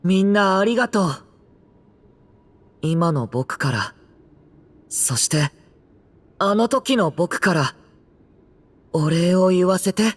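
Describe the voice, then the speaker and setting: Expressive, gentle young boy. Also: fairly low pitch, measured pace, pauses often. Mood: gentle, wistful. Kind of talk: Japanese game voice acting.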